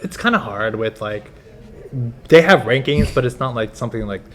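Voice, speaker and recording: A man's voice: medium pitch, expressive, moderate pace, a bit bright. A young man, a kickboxing instructor, talks casually as a podcast guest and hesitates with a lot of fillers. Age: young adult.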